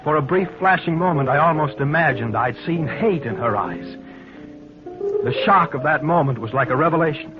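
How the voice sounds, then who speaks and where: A man's voice: on the high side, close to an everyday voice. Male actor as troubled husband and narrator, formal and earnest, vintage radio drama; muffled recording with music behind. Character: possessive, increasingly troubled husband narrating his story.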